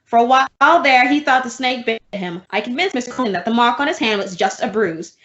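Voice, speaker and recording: A woman playing a wry, quick-witted schoolteacher with dry, deadpan delivery in old-time radio comedy style. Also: fairly high pitch. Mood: soothing. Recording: roomy, dull lo-fi recording.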